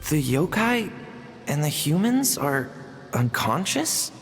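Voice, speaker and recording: A woman voicing a frightened little tanuki creature in a video game, halting and stammering. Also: low voice, big pitch swings, long reverb tail, very noisy, exaggerated voice. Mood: fearful.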